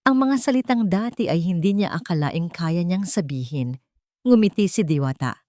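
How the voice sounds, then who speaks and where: Female narrator, lowish voice, very lively melody, sentimental romance storytelling, dry sound. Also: normal speed, no echo.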